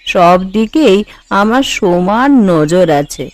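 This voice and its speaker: A woman's voice: medium pitch, expressive, takes it slowly. A woman voicing a proud, strict older mother-in-law in a cartoon, boastful and commanding. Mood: proud, firm. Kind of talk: Bengali cartoon voice acting. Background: background music.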